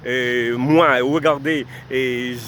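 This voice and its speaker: Man, Creole speaker, mid-pitched voice, formal address to viewers, repeats points, outdoors, faint street traffic behind. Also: fairly even intonation, slow, a bit bright. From Haiti. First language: Haitian Creole. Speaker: vlogger giving life advice. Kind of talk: direct-to-camera advice talk.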